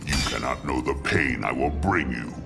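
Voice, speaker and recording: An actor playing a tyrannical god of worlds in a video game, pompous and threatening. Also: male voice, extremely low pitch, normal speed, gravelly. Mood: menacing, domineering. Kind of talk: video game villain acting. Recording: music behind the voice.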